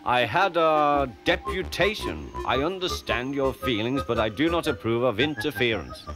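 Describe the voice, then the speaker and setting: A man voicing a stern, pompous male railway boss who speaks in a measured, formal way. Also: medium pitch, big pitch swings, close to an everyday voice. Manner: measured and formal, pauses for effect. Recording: music playing behind.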